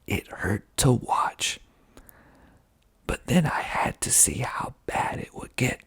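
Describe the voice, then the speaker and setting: Slow, breathy whispering man. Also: medium pitch, expressive, a bit bright. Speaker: filmmaker and ASMR video host. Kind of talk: whispered ASMR reading of reviews with asides. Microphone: close mic with pop filter.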